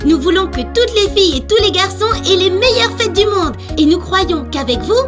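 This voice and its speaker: A woman's voice: extremely high pitch, expressive, normal speed. A woman voicing a polite, organised young girl who speaks for her friends in a children's cartoon. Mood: hopeful. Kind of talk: dubbed children's cartoon acting. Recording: children's music behind the voice.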